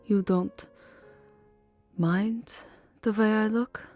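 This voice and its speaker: Woman acting a slow, melancholy vampire countess. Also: mid-range voice, steady tone, faint music behind. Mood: tender, wistful. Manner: soft, hesitant, formal, often dropping into whispers. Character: lovelorn, melancholy vampire countess, burned and self-loathing. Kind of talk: ASMR roleplay voice acting, second-person romance.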